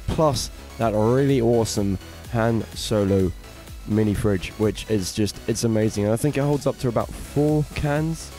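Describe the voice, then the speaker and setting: A man with a raspy throat, co-hosting a casual gaming news roundup with eager, filler-laden chat. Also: on the low side, moderate pace, hardly any pauses, warm tone, soft background music. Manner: enthusiastic, chatty, hesitant fillers. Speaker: co-host of gaming news show.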